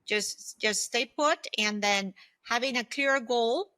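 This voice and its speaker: A woman in her fifties talks as a podcast guest with a bright, forceful voice set a little high. The recording is dry and close, and she gives advice in an emphatic, conversational way, often checking that the listener follows. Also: slow, no room sound. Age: 50s. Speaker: podcast guest, former aerospace executive. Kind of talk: conversational interview, giving advice.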